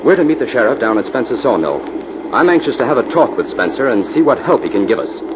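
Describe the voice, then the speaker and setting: An actor playing a calm, methodical investigator, courteous and businesslike, in an old-time radio drama. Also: male voice, mid-range voice, fast talker, lightly acted. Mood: brisk, friendly. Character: calm, methodical male investigator, friendly and direct. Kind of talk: 1940s radio acting. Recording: noisy, muffled old radio recording.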